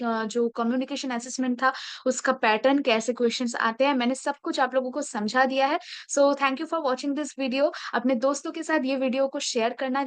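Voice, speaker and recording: A woman's voice: high-pitched, clean tone, no room sound. A woman tutoring students on a placement exam, explaining steps casually and often checking in with "okay?". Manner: mixes English phrases into Hindi, repeats "okay?" and "ठीक है?".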